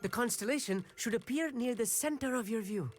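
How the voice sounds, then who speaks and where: A man's voice: very high voice, lively intonation, brisk. An actor playing an eager, bookish young male student who loves astronomy, earnest and a little pompous. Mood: instructive. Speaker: game character. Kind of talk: video game voice acting. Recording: game audio with faint music.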